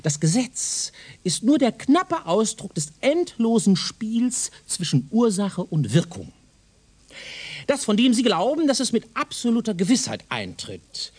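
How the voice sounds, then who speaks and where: A pompous professor for a radio play, swoops up and down, husky, super condescending. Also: male voice, high voice, wildly swooping pitch, unhurried, darkish tone, full character voice. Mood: lofty, insistent. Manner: pompous, didactic, declamatory, condescending. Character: pompous, self-assured male professor lecturing on his doctrine. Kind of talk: German radio drama acting.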